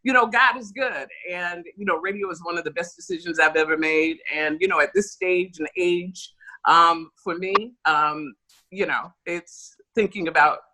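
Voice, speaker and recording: A woman's voice: lowish voice, normal speed, sharp tone, a little hiss or hum, poor audio. A woman radio host and author chatting on a video call in a chatty, upbeat, rambling way. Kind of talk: casual video call chat.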